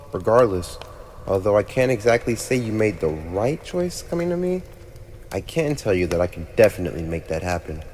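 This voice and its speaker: A teenage demon prince of hell played by a man, smug and teasing with a playful menace, speaks in a lowish male voice. He addresses the listener in chatty yet mock-formal ASMR roleplay fashion. The sound rings with long reverb over clearly audible noise. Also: a little mellow. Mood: pleased, generous. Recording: echoey reverberant room with clear background noise.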